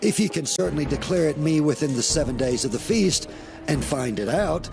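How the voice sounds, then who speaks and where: A proud young strongman declaims with a gravelly, fairly high voice. He is defiant and challenging, as in a biblical audio drama. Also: lively intonation, fairly quick, over music. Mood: boastful challenge. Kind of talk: dramatized scripture acting.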